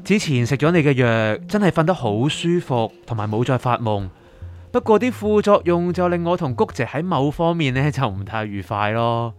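An actor playing an anxious, sleepless writer seeking help in a radio drama, pleading and doubting in Hong Kong Cantonese. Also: male voice, medium pitch, lively intonation, normal speed, natural voice. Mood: troubled. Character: troubled, sleepless male writer seeking his doctor's help. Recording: music underneath.

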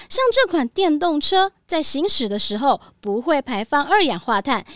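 Actress as a high, cheerful rental saleswoman. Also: a bit bright, no echo, studio quality. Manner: upbeat sales patter. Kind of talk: children's audio drama.

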